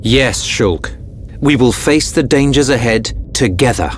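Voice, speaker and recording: Man acting a posh royal prince, mid pitch, super formal game cutscene, faint noise behind. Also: expressive, a little slow, close to an everyday voice. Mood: stately, resolved. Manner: formal, stately. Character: formal, dignified royal prince, solemn and courteous.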